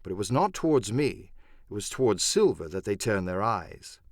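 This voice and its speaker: A man's voice: lowish voice, sing-song, moderate pace, no room sound, studio quality. A man reading dramatic first-person narration for an adventure audiobook.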